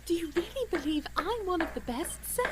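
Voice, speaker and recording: A woman's voice: very high-pitched, expressive, fairly quick, heavy background noise. A woman playing a pert, innocent young girl in a period audio drama, cheeky and ingenuous. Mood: surprised, shy.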